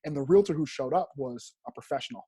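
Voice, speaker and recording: A man's voice: mid-range voice, quick pace, warm tone, breathy edge, no echo. An American man in his thirties from Rhode Island, a real estate agent telling his story casually as an interview guest. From Rhode Island, United States.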